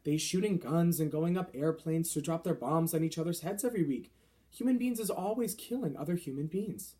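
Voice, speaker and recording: A man voicing a big, friendly, gently indignant giant from a children's storybook, speaking in quaint broken grammar with mangled words. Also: a little high, brisk, lightly acted. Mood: indignant, lecturing.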